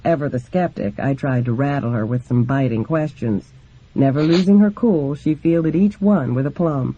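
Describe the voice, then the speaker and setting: A man's voice: medium pitch, moderate pace, warm tone, slight background noise. A man reading a memoir aloud as scripted narration, in a dull lo-fi recording. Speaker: reader of a book.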